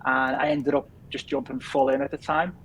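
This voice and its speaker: A man in his thirties from England with a softened Liverpool accent, chatting easily as a podcast guest with lots of fillers. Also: mid-range voice, flat delivery, normal speed, slight background noise. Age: 30s. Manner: easygoing, rambling with many fillers. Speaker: podcast guest, business founder. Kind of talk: casual interview chat.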